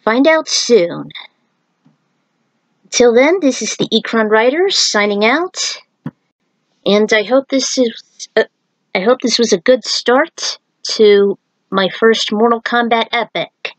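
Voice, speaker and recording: A woman's voice: fairly high pitch, animated, slow pace, pauses often, no echo, poor audio. A woman reading her own fan fiction aloud as an amateur narrator and adding author's notes. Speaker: fan fiction writer and podfic reader.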